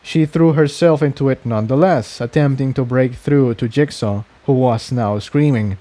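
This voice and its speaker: A man's voice: mid-range voice, dark tone. A man reading fan fiction aloud as scripted story narration.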